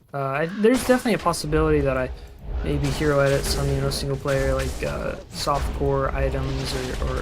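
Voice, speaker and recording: A man's voice: a little high, vocal fry, noisy background. A man from Utah with a Utah accent, a game streamer chatting casually with viewers, rambling with lots of fillers and restarts. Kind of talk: casual chat with viewers while gaming. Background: game music.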